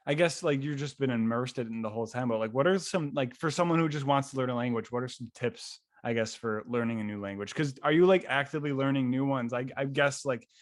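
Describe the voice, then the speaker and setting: A man's voice: mid-range voice, fast talker, few pauses, dry recording, clean studio sound. A man from New York State hosting an interview, asking questions with many hesitations and fillers. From Western New York, USA. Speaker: podcast host. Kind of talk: casual interview chat.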